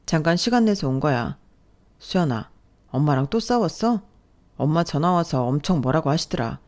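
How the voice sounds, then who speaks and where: A woman voicing a weary young husband who answers defensively and tries to calm his wife, a little lower than her own voice, in a told story. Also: moderate pace. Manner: defensive, placating and low-key. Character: evasive, weary young husband caught in the middle. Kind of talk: storytelling with acted dialogue.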